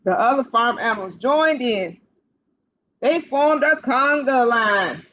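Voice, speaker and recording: Animated high-voiced woman telling children's stories. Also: takes it slowly, a little hiss or hum. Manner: talks directly to child listeners, asking questions; animated, playful. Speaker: library storytime host. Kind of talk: children's read-aloud storytelling. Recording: dull lo-fi livestream audio.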